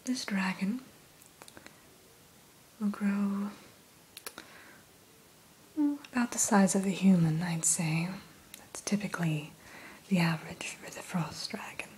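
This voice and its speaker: A woman speaks entirely in a soft, breathy whisper, very slowly and gently. She plays a courteous fantasy shopkeeper in an ASMR roleplay. A faint steady hiss sits behind her voice. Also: medium pitch, rough recording. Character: gentle, courteous female keeper of a dragon hatchery.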